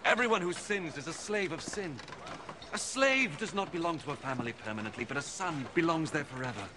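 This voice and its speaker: An actor in his thirties playing a calm, authoritative holy teacher in a biblical film, speaking deliberately and earnestly. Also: fairly high pitch, background noise, close to an everyday voice. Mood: impassioned, proclaiming. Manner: deliberate, declarative.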